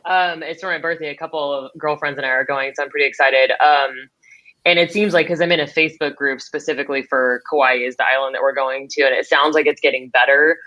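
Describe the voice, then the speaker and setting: A woman hosts a podcast in a chatty, upbeat way, with loose fillers and easy laughter. Her voice sounds bright and sharp. The call audio comes through dull and lo-fi. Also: deep voice, fairly even intonation, fast. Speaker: podcast host. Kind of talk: casual interview chat.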